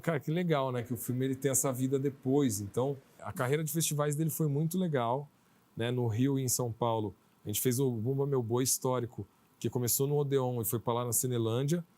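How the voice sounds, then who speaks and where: A man's voice: medium pitch, a little fast, dark tone. A Brazilian man, a film director, answering interview questions in a conversational way, telling things through little quoted asides. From Brazil, outside Maranhão.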